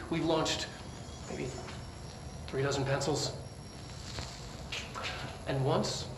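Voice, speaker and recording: An actor playing an eccentric, enthusiastic government scientist lecturing in a theatrical, pedantic way with wry asides. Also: male voice, fairly high pitch, lightly acted. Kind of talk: filmed scientific presentation. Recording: roomy echo with clear background noise.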